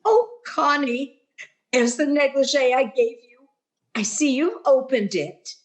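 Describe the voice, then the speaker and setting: Lady doing blithe chatty old dear on radio sitcom, real slow and sing-song, up high. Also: expressive. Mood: cheery. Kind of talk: 1940s radio sitcom acting.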